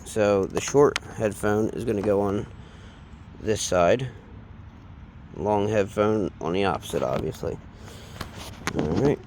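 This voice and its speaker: A man with a fairly low voice talks very slowly through a hands-on DIY job. His delivery is casual and halting, and he trails off as he works. There is clear background noise around him. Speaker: DIY installation demonstrator. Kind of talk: unscripted hands-on tutorial, casual.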